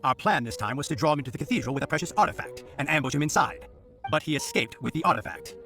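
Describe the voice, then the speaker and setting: An officious young knight rattles along extremely fast in a bright, forceful voice. He is formal and dutiful, in anime game style. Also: a little high, vocal fry, faint music behind. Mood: earnest, explaining. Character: officious, earnest young male knight lawman.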